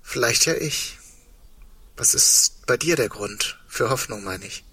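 A man's voice: medium pitch, lively intonation, measured pace, sharp tone, breathy edge, heavily acted. An actor playing a wry, reflective man facing impending doom in a naturalistic radio play, speaking in an understated, rambling way. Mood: uneasy, wry.

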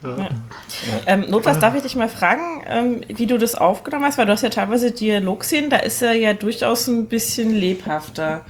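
A woman's voice: on the high side, lively intonation, normal speed. Woman chatting over audible background noise. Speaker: podcast panellist. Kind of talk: casual questions and comments.

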